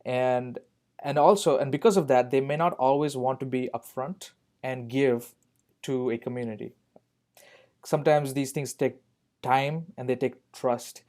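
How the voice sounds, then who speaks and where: A man's voice: medium pitch, fairly even intonation, a little slow, darkish tone. A man speaking as a podcast guest, earnest, repeating key points for emphasis. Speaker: guest on a youth ministry podcast. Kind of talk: reflective podcast conversation.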